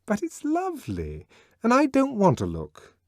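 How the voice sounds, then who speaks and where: A man voicing a bright, fretful young woman. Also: huge pitch swings, dry recording, studio quality, heavily acted. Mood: distressed. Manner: pleading, high and bright, quickly upset. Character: young woman, cheerful, conventional, easily distressed. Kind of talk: audiobook character voice.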